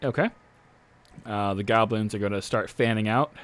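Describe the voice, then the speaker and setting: A man's voice: on the low side, moderate pace. A young man narrating as dungeon master, hesitant, with frequent uh and restarts. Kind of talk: narrating a tabletop game.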